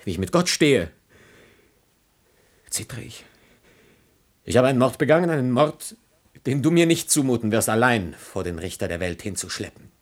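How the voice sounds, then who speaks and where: Ein Schauspieler spielt in einem klassischen deutschen Hörspiel einen gequälten, verbitterten jungen Adligen im Sterben, stockend und theatralisch deklamierend. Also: male voice, medium pitch, sing-song. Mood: bitter reproach. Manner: halting, theatrical declamation. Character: anguished young nobleman, bitter, poisoned and dying. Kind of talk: German classical radio drama.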